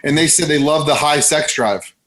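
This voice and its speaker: A man's voice: fairly high pitch, moderate pace, fairly bright tone. A man chiming in bluntly as a co-guest in a casual conversation. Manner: blunt interjections.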